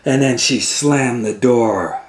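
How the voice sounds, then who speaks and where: A man reading a children's storybook aloud as host, with expressive, drawn-out storytelling. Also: mid-range voice, slight background noise. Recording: roomy, echoing indoor sound.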